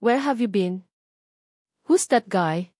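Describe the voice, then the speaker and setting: A woman's voice: medium pitch, animated, slow pace, dark tone, no echo, clean studio sound. A woman with a Filipino accent voicing a jealous young husband who is insistent and mixes English with Tagalog. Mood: stern, annoyed. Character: jealous young husband, stern then apologetic.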